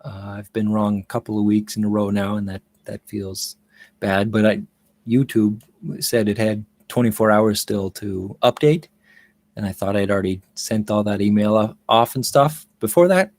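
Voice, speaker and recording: A man's voice: mid-range voice, a little mellow, dry recording, studio quality. A man working as an audiobook narrator and host, speaking in a literary reading or informal talk.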